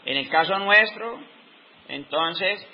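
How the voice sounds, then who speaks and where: Slow, thoughtful Colombian man in his fifties. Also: high-pitched, animated. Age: 50s. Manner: slow, reflective, pauses often mid-sentence. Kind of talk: unscripted talk. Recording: dull lo-fi recording.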